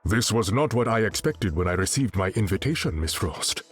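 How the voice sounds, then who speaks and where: A courtly king in a video game. His voice is very low and husky, regal and suave, over faint music. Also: expressive, normal speed. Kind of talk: video game character dialogue.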